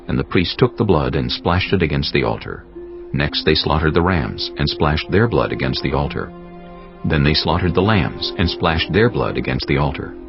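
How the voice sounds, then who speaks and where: An extremely low male voice with a gravelly creak narrates dramatized scripture. His delivery is solemn and measured, yet moves widely in pitch. Music plays clearly beneath the slightly muffled recording.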